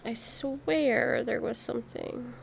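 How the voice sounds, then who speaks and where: Animated woman streaming a game. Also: on the high side, takes it slowly, creaky, slight background noise. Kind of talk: casual live game commentary.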